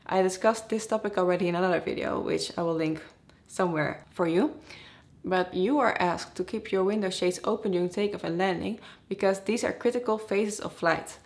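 A woman's voice: lowish voice, a little fast. A woman in her twenties from the Netherlands with a Dutch accent, presenting a scripted explainer to camera as a vlogger. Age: 20s. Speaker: vlogger, airline pilot.

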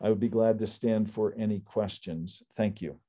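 An older man speaking as an attorney at a public hearing over a video call, giving a formal, prepared summary in a measured, deliberate way. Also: fairly low pitch, fairly even intonation, mellow. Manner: measured, deliberate, enumerating points. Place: indoor room on a video call. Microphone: computer video call microphone.

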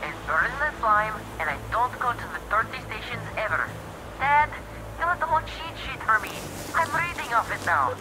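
A woman's voice: on the high side, sing-song, normal speed, background noise. A woman voicing an earnest, dutiful young boy, the son of a colonel, talking over a radio in a video game. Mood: plaintive, earnest. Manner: dutiful, childlike, radio-operator formality. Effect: radio transmission. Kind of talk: dramatic video game acting.

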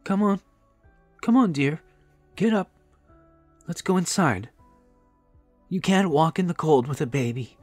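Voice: mid-range voice, expressive, unhurried, pauses often, darkish tone, no room sound, soft background music, pitched above the performer's own voice. A narrator voicing a kindly, chatty old grandmother in an audiobook, motherly and emphatic. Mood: urging, kindly.

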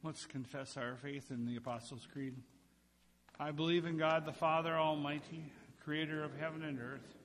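In a long, ringing church reverb, a man leads worship as pastor. His voice sits a little high for a man, and he addresses a congregation. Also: steady tone, moderate pace, fairly bright tone. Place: large church sanctuary, indoors.

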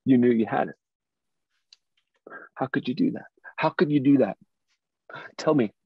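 In a dull, dry recording, a man plays a hurt, accusing ex-lover in his thirties, well-to-do and intense. His voice is husky, read as staged drama. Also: medium pitch, measured pace, dry recording. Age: 30s. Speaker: actor at a table read. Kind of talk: dramatic script reading.

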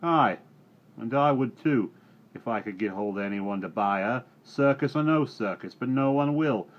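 A man voicing an angry, grasping farmer who shouts and scolds. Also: mid-range voice, moderate pace, pitched above the performer's own voice, close to an everyday voice. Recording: dull recording, faint hiss.

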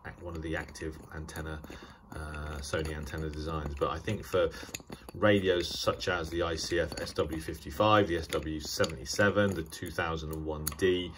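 A British man giving a casual, unscripted hobbyist demonstration, speaking haltingly and often restarting his sentences. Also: a bass voice, fairly even intonation, background noise. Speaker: radio hobbyist presenting a video. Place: indoors at a table.

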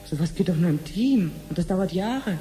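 An actress playing an obliging clinic researcher in a 1970s radio play, explaining things patiently and conversationally. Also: female voice, lowish voice, expressive, normal speed, dark tone, soft background music, lightly acted. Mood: matter-of-fact, explaining. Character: chatty, obliging female clinic researcher showing her sister around.

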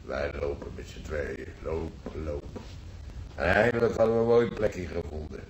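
An actor playing a nostalgic, gruff old war veteran grandfather in a radio drama, telling his memories haltingly, repeating himself in earthy colloquial words. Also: very deep voice. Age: older. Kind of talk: radio drama acting, reminiscing monologue. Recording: roomy old radio play, faint noise.

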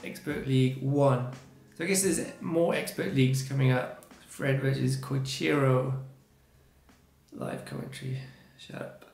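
Gravelly-voiced man with a strained throat. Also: mid-range voice, fairly even intonation, a little slow, a little mellow, roomy sound, soft background music. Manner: chatty, reacting to each result. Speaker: gaming channel host. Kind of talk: casual let's-play commentary. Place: small room indoors.

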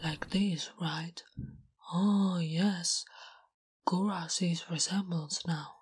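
Woman playing a big female orc, low-pitched, very breathy whisper, slow halting broken English, naive, blunt, smitten, ASMR roleplay. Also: fairly even intonation. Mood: puzzled, curious. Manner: halting broken English, speaks of herself in third person. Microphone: close-up ASMR microphone. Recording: close, intimate microphone.

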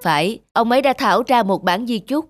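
A brisk male lawyer, formal and matter-of-fact, voiced by a woman at a quick pace. Music plays clearly under him in this cartoon. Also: on the high side, animated, natural voice. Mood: businesslike. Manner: businesslike, quick.

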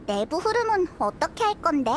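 Very squeaky little fairy girl. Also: brisk, slight background noise. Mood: doubtful, grumbling. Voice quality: squeaky, childlike. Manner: whiny, expressive, easily alarmed. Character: tiny excitable childlike female fairy companion. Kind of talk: Korean game voice acting.

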